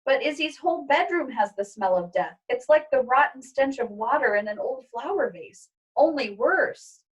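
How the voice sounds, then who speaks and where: A woman teacher reading a children's story aloud in a gentle, expressive way. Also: sits high, moderate pace, slightly rough, recorded from a distance, rough recording.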